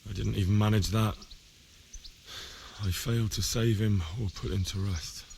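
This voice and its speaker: Earnest low-voiced young peasant lad. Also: male voice, fairly even intonation, normal speed, gravelly, darkish tone, slight background noise. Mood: sorrowful. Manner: plain, sincere. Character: earnest, determined young blacksmith's son, grieving. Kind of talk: medieval video game cutscene acting.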